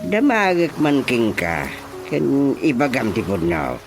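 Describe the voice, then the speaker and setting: A blustering village chairman with an Ilocano accent , acted by a man, gives orders and advice with very lively intonation. It is radio drama comedy with faint music behind. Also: mid-range voice, takes it slowly, vocal fry, full character voice. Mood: blustering, commanding.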